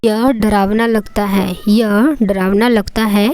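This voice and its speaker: A woman from India with an Indian accent, teaching English phrases in a lesson and repeating each example slowly and deliberately. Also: mid-range voice, steady tone, a little mellow, no echo. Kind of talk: Hindi-language English lesson with drilled phrases.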